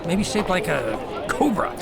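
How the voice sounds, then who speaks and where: A hesitant young male customer in a radio drama. He talks casually and haltingly, with an animated pitch. A loud crowd and heavy noise surround him. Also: gravelly, heavily acted. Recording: busy crowd and loud outdoor ambient noise.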